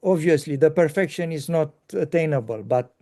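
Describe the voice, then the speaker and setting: A man hosting a casual conversation, talking haltingly with many pauses and fillers. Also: on the high side, mellow. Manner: halting, pausing often with fillers.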